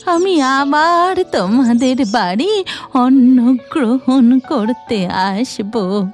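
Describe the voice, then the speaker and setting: Cartoon folktale old beggar granny: drawn-out, deliberate delivery, swooping pitch, music underneath. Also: female voice, expressive, full character voice. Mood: satisfied, firm. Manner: slow, drawn-out, deliberate speech. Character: goddess disguised as hungry old beggar woman, slow, insistent.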